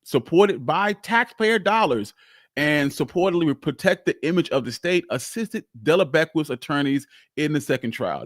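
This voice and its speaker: A man's voice: fairly high pitch, no room sound, studio quality. A man hosting a live history talk, telling the story emphatically and repeating phrases for weight. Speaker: host of a history show.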